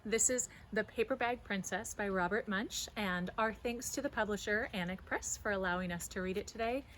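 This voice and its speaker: A woman reading a children's story aloud as host, in an expressive, careful storytelling manner. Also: mid-range voice, normal speed, slight background noise. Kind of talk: animated children's read-aloud.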